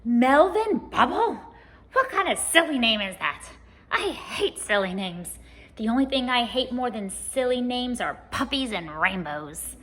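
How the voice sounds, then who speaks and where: A woman's voice: a little high, sing-song, unhurried, a bit bright. A woman voicing the meanest man in the world, a grumpy bully with a scornful, emphatic manner, in a storybook reading. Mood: scornful, angry.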